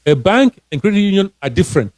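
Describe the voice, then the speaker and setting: A man's voice: sits high, very animated, fast, darkish tone, dry recording. Earnest man giving money advice. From Cameroon. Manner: repetitive, restarting, earnest and encouraging. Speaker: financial coach, author. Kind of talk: casual live-stream advice talk.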